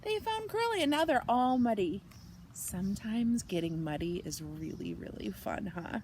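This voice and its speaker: A woman reading a picture book aloud to children, slow and expressive, talking to her young listeners. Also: mid-range voice, a little hiss or hum. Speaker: children's storyteller.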